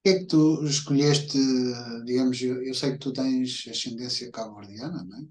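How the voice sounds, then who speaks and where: Male host, middle-aged, European Portuguese accent. Average pitch, halting informal questions over a video call. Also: darkish tone. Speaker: host interviewer. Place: indoor room, video call. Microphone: computer mic on a video call.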